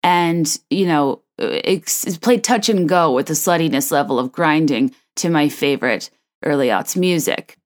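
A woman in her thirties hosting a solo podcast, chatting in a wry, rambling way with a low, soft late-night voice. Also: unhurried. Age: 30s. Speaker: podcast host. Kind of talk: casual solo podcast chat.

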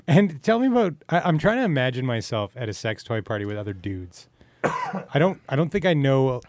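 Male podcast host, mid-pitched, informal and jokey interviewer, close and dry sound. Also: big pitch swings, moderate pace, pauses often, darkish tone, no room sound. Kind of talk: casual joking interview chat.

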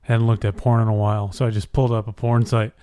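A man's voice: lowish voice, flat delivery, quick pace, warm tone, smooth, no room sound. Offhand man, dry close sound. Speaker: podcast co-host. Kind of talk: casual comedic chat, anecdotes.

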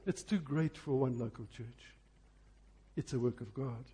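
A man's voice: medium pitch, measured pace, warm tone, breathy edge. A man preaching a sermon, phrasing emphatically and repeating key words for effect.

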